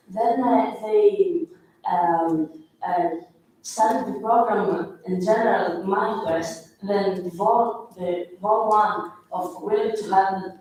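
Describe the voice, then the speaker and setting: A young woman from Greece giving a group presentation as a student, speaking in a hesitant, halting way. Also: mid-range voice, dark tone. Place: large echoey room at a student plenary. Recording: very echoey hall, distant mic, faint noise.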